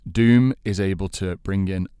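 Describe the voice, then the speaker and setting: A man's voice: low voice, measured pace, warm tone, clean tone, no echo, clean studio sound. A man co-hosting a podcast, arguing his points in a casual, opinionated and emphatic way. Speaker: podcast co-host in a film debate. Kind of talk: casual panel debate.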